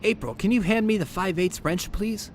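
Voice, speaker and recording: Male actor as nerdy teen turtle inventor, slightly high voice, earnest, precise, polite, conversational audio drama. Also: moderate pace, a little hiss or hum, lightly acted. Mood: focused, upbeat. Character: brainy, polite teenage mutant turtle inventor.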